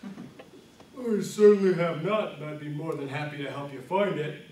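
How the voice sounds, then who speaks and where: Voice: medium pitch, normal speed, a little mellow, very echoey, recorded from a distance, slight background noise, voice pushed down, natural voice. A speaker voicing a friendly, apologetic talking animal with a polite, helpful, easygoing manner.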